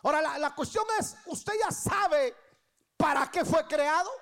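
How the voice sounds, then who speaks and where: A woman preacher in her thirties with a Guatemalan accent and an extremely high pitch. She delivers a sermon with emphasis, repeating her key phrases and posing rhetorical questions to the congregation. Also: a little slow, fairly bright tone. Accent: Guatemalan Spanish. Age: 30s. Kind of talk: church sermon.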